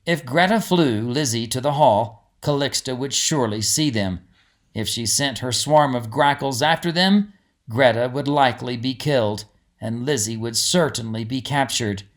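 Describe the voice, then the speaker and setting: A man's voice: medium pitch, normal speed. A man who is an author, narrating a storybook and giving casual commentary on it.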